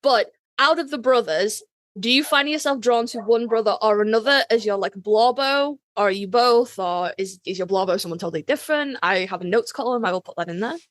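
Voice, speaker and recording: A woman's voice: fairly high pitch, a little fast, no room sound. A woman in her thirties co-hosting a podcast with casual, playful, exclamatory banter. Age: 30s. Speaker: podcast co-host.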